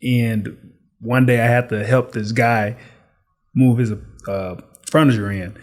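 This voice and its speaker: A man from Dallas and Chicago, a retired boxer, relaxed as he tells stories in a podcast interview. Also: mid-range voice, slow pace. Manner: relaxed, easygoing storytelling with laughs. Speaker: guest, business owner and retired boxer. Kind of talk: casual interview storytelling.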